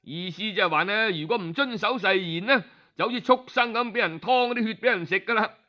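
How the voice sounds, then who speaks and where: A man's voice: high voice, a little fast, lo-fi sound. A man with a Cantonese accent telling a traditional story in colloquial style, with explanatory asides.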